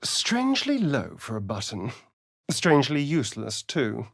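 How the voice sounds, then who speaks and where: A vain, sly male vampire for a fantasy video game. His pitch swoops widely while he takes his time over each arch, sardonic phrase. The sound is dry and close, with no room on it. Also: wildly swooping pitch, measured pace, creaky, breathy edge, dry recording, full character voice. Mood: wry amusement. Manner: theatrical, arch, sardonic drawl. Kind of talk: video game companion voice lines and narration.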